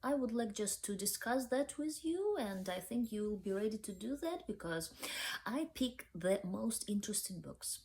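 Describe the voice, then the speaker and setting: A woman's voice: medium pitch, animated, normal speed, darkish tone. A young woman with a Ukrainian accent hosting a book review channel, speaking hesitantly with many fillers. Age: young adult.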